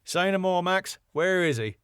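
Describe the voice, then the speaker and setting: A man's voice: sits high, animated, moderate pace, fairly bright tone, clean tone, no room sound, clean studio sound, voice pushed up. A man voicing a laid-back, cheeky, streetwise British bloke who talks in a blunt, matey, slangy way. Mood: blunt, wry.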